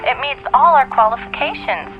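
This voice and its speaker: A woman playing a brisk, approving professional judge through a telephone filter in a radio drama. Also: high voice, animated. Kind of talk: family radio drama acting. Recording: dull phone sound, music behind.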